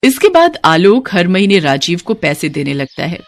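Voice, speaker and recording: A woman's voice: on the low side, very animated, normal speed, dry recording. A woman narrating a scripted moral bedtime story as a storyteller.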